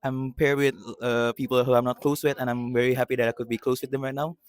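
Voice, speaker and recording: A friendly young man speaks quickly in an even tone. The sound is dry and close. He sounds relaxed. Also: mid-range voice, dry recording. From Indonesia. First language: Indonesian.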